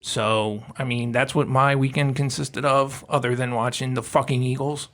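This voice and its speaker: A man's voice: medium pitch, flat delivery, moderate pace, fairly bright tone. A man co-hosting a podcast, talking in a laid-back way and swearing. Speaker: podcast co-host. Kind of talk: casual chat.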